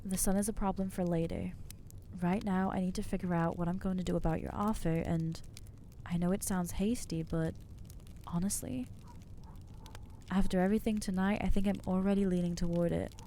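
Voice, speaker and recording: A woman playing an anxious, newly turned vampire in an ASMR roleplay, speaking in a hesitant, earnest, halting way with gentle inner conflict. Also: on the low side, steady tone, a little hiss or hum, natural voice. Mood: hesitant, resolving. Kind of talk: ASMR roleplay acting, intimate monologue.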